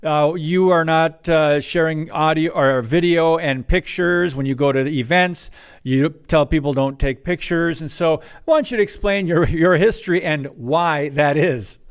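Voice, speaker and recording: A man hosting a faith talk show, introducing a guest and questioning him in a friendly way with quick bursts of speech. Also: fairly high pitch, smooth. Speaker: podcast host. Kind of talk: interview host chat.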